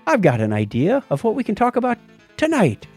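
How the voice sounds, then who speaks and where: Animated, higher-pitched American man. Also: fairly quick, breathy edge, faint music behind. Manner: jokey, animated storytelling. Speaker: podcast co-host. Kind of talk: casual tech chat.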